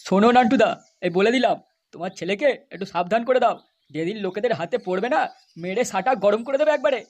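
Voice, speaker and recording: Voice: mid-range voice, animated, fast, natural voice. A performer voicing a crude, mocking young village man in a comedy cartoon, scolding and taunting as he talks.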